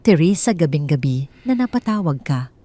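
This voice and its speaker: A woman's voice: deep voice, sing-song, normal speed, warm tone. A woman narrator plays a female attorney in an audio drama, a loyal best friend. She speaks in a concerned, questioning way.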